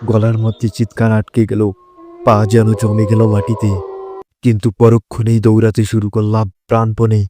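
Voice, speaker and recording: A man's voice: on the low side, monotone, normal speed, heavy background noise. A man narrating a scripted horror story in the first person.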